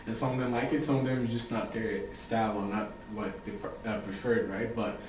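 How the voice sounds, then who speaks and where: A young man from Haiti, a poet and writer, talking casually as an interview guest, relaxed and full of fillers. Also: lowish voice, moderate pace. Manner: relaxed, chatty, many fillers and "you know". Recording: echoey room, mic far off, noisy.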